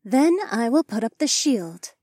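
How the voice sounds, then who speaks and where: A calm alien slime woman speaks in a high, formal, measured way, voiced by a man reading the story. She never uses contractions and sounds careful. The recording is dry. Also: no room sound, exaggerated voice.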